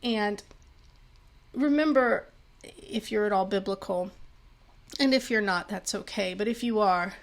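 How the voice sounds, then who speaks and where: A young woman giving a pick-a-card tarot reading, talking in a rambling way with many fillers and restarts, her voice a little worn. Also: mid-range voice. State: slightly losing her voice. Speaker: tarot reader.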